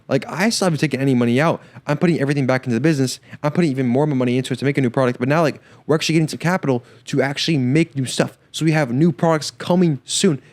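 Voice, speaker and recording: A young man with a slight stutter talks rapidly through a solo podcast episode. His voice sits at an ordinary middle pitch. He is eager and casual, piling up fillers and repeated words. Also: a little mellow. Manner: enthusiastic, filler-heavy slang with frequent repeats. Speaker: podcast host.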